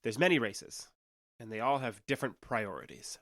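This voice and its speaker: A man's voice: medium pitch, very animated, moderate pace, fairly bright tone, dry recording. Candid, awkward young alien guy, dry sound. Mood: earnest, explaining. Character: awkward, candid young male alien trader passing as human. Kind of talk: audio drama dialogue.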